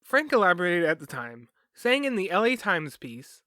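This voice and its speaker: A man's voice: high-pitched, very animated, moderate pace, pushed and bright, no room sound, studio quality. A man reading scripted documentary narration as a podcast host.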